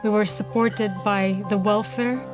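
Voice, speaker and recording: A woman from Israel gives a personal testimony in an even, mid-range voice. She speaks earnestly and at a measured pace, with music playing clearly behind her. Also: mid-range voice. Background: background music.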